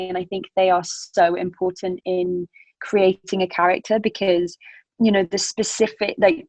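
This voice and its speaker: A woman in her twenties, guest on an interview podcast, speaking in an animated, chatty way with a British accent mixing Northern Irish, Scottish and Manchester traces, often laughing as she talks. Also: lowish voice, no room sound, poor audio. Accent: British mix with Northern Irish, Scottish and Manchester traces. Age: 20s. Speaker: actor guest. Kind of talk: casual interview chat.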